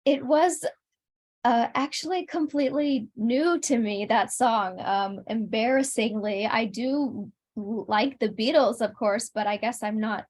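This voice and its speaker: A woman's voice: on the high side, unhurried, darkish tone. A woman talking casually as an interview guest, in a conversational style. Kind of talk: casual chat.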